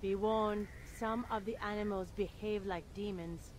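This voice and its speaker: A woman voicing a grave female alien in a video game. Her medium-pitched voice is formal, sorrowful and foreboding, with faint noise behind. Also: steady tone, measured pace, a little mellow, lightly acted, poor audio. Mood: warning.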